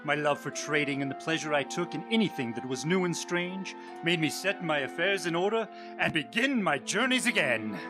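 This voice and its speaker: An actor playing a boastful, adventurous sailor captain in a theatrical radio drama, speaking in a grandiose, declamatory way. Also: male voice, on the high side, lively intonation, moderate pace, music in the background, close to an everyday voice. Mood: self-satisfied.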